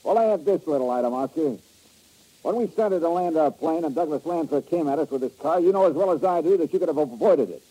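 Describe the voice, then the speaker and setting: A man's voice: a little high, animated, fast talker, warm tone, husky. An actor playing a hard-boiled male insurance investigator, clipped and sardonic, in an old radio drama. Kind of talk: 1950s radio drama acting. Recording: muffled old radio broadcast.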